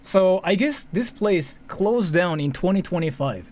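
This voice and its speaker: A man with a Japanese accent talks in a fairly high, very animated voice. He rambles through casual commentary, looping back and repeating himself. Faint noise sits behind him. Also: unhurried. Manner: rambling, repetitive, self-interrupting, swears casually. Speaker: streamer, commentary host. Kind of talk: casual live reaction commentary.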